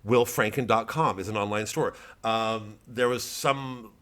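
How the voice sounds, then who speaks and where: A man who works as a comedian, talking in a casual, rambling vlog monologue with a deadpan delivery. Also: lowish voice, a little slow. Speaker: comedian promoting his live show.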